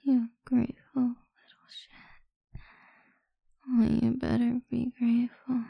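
A cruel, controlling mistress played by a woman, hissing slow, degrading taunts in a husky, gravelly whisper. Her tone stays flat and even. The recording is dry and slightly muffled. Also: fairly high pitch, no room sound, full character voice. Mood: taunting. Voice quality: breathy whisper. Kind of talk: whispered audio roleplay.

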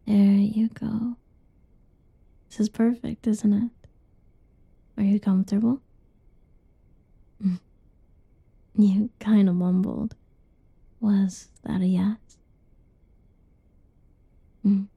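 Whispering woman, slow and husky. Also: medium pitch, level tone, no room sound, dull audio. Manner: soft whispering, teasing and cuddly; playful giggles between lines. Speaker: roleplay narrator. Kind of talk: ASMR roleplay.

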